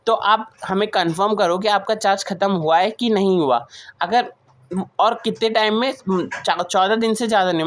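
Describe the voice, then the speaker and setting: A woman with an Indian accent giving an explanatory lecture as a teacher, reading provisions aloud and then explaining them with repeated key points. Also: medium pitch. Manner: switches between slow English reading and Hindi explanation, repeats key points. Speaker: teacher of company law.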